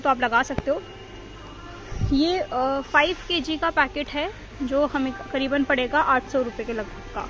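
A woman's voice: high-pitched, fairly bright tone, dull audio. A woman in her thirties walking a supermarket with a phone camera, casually reading out prices as she shops. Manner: chatty, walks through aisles reading out prices. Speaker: vlogger. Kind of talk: casual shopping vlog. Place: supermarket aisles, indoors.

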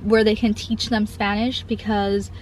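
A woman's voice: mid-range voice, little pitch movement, a little slow, slight background noise, rough recording. A young woman vlogging as a mom, talking casually and rambling, filling her sentences with "like" and "I feel like". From Cuban family. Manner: rambling, heavy use of "like" and "I feel like". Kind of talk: casual confessional vlog. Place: parked car, then outdoor park. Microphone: camera on dashboard or held close.

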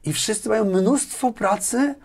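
Higher-voiced man explaining in conversation. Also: measured pace. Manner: animated explaining, repeats words, tags sentences with a questioning "right?". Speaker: interview guest, sales executive. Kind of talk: casual conversational interview. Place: small furnished indoor studio. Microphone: lapel mic.

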